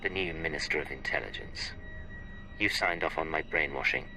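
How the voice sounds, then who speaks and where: An actor playing a cool, confrontational Imperial secret agent in a video game, speaking in terse, probing lines. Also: male voice, very deep voice, normal speed, bright, forceful tone, soft background music, heavily acted, poor audio. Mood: bitter, accusing. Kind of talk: video game cutscene acting.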